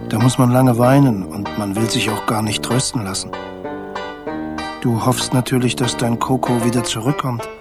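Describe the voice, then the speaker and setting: A man playing a kindly stork in a radio play, telling a story to a child in a gentle, measured way. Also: medium pitch, fairly even intonation, over loud music, close to an everyday voice. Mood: gentle storytelling. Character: kindly male stork narrating a letter to a child.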